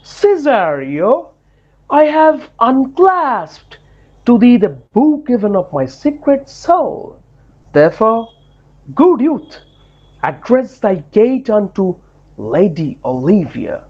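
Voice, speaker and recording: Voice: mid-range voice, big pitch swings, takes it slowly, darkish tone, muffled recording, full character voice. A performer playing a lovesick, grandly romantic duke in a theatrical Shakespearean dramatic reading, with declamatory, swooning delivery. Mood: eager, confiding.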